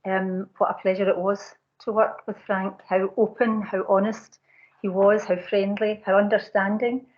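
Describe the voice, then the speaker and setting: A woman's voice: medium pitch, level tone, moderate pace. A woman, a committee member, giving a brief formal tribute at a council meeting, listing qualities one by one. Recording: dull lo-fi meeting audio.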